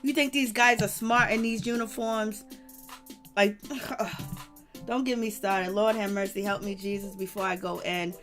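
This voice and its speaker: A hoarse woman hosting a livestream, speaking fervently and greeting viewers in the chat. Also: medium pitch, steady tone, measured pace, fairly bright tone, faint music behind. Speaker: livestream host. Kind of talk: impassioned livestream commentary.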